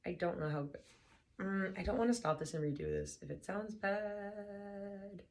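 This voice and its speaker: A young woman with a hoarse, slightly lost voice, sounding tired, chatting casually and then reading a book aloud softly. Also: medium pitch. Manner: tired, soft and unhurried. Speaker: book reader vlogger.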